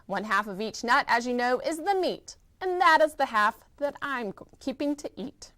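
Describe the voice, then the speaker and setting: A woman's voice: sing-song, moderate pace, a bit bright. A woman reading aloud and voicing a small, bossy, greedy male bug. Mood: gloating. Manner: bossy, shrill and gloating.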